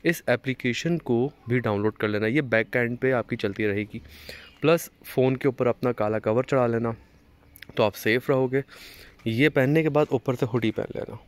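Young guy talking you through his bike gear, mid pitch, chatty, outdoors on the street. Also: no room sound. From Pakistan. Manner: chatty, explaining step by step with small restarts. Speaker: motovlogger. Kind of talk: casual how-to vlog. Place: outdoors on a street beside a parked motorcycle.

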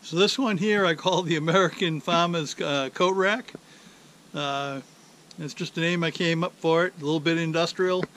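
An older man hosting his DIY channel, talking in a relaxed, rambling way with many fillers, standing outdoors by a rural driveway. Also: fairly high pitch, moderate pace, a little hiss or hum. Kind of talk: casual workshop vlog.

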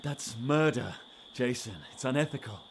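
A man's voice: medium pitch, normal speed, close to an everyday voice. An actor playing a shaken, principled male doctor in an outbreak audio drama, hesitant and protesting. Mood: disbelieving. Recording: noisy outdoor night ambience with crickets.

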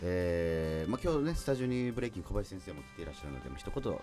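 A man hosting a radio show with lively banter and announcements. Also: low-pitched, rapid-fire, faint music behind.

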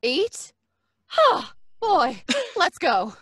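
A woman playing a brash, high-spirited young woman who wisecracks in breezy slang, in old-time radio drama style. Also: wildly swooping pitch, drawn-out words, fairly bright tone, no echo, studio quality, full character voice. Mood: brash, teasing.

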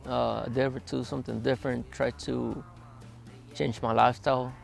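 A young man in his twenties speaks outdoors in a quiet desert yard, his voice heavily gravelly and fried. He talks flatly with little rise and fall, stopping often on hesitant "uh"s as he answers. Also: mid-range voice, over music. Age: 20s. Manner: plain, halting, with many "uh" pauses. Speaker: interviewee. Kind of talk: documentary interview, recounting his past.

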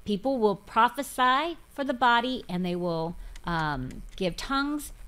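A woman's voice: fairly high pitch, measured pace, clean tone. A woman in her fifties hosting a faith podcast, talking emphatically in a casual way at a desk mic in a studio. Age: 50s. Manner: animated, emphatic, gestures a lot. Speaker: podcast host. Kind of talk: casual religious talk. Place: studio set with floral backdrop.

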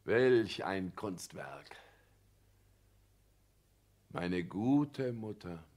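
An actor playing a pompous, calculating husband who boasts and lectures in a declamatory 1960s radio-play manner. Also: male voice, low voice, expressive, drawn-out words, darkish tone, exaggerated voice. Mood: rapturous.

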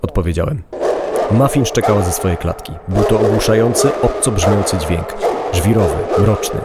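Low-voiced man narrating a dramatized story. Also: normal speed, over loud music. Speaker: podcast narrator. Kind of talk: dramatized first-person horror-comedy narration.

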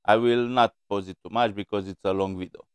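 A middle-aged man hosting a reaction video, talking in a measured way with many filler pauses, from a small room at a desk mic. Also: on the low side, steady tone, clean tone, no echo. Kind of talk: casual commentary. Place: small plain room indoors.

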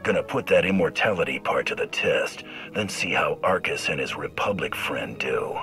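An actor playing a terse, dry, cocky mercenary in a video game. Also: male voice, extremely low pitch, animated, moderate pace, pushed and bright, faint music behind, exaggerated voice. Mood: resolute. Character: gruff, terse mercenary male bounty hunter. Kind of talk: video game character acting.

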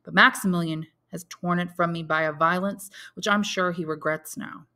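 A woman voicing a calm, resolute older Count from a storybook, speaking in a measured, firm, authoritative manner. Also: lowish voice. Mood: solemn restraint.

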